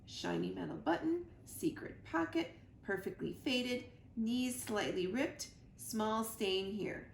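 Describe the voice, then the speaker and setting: A woman reading a children's book aloud in an expressive, animated storytelling manner. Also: medium pitch, takes it slowly, few pauses. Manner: animated, acts out dialogue. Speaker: reader of children's books at a library. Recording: echoey room, clear background noise.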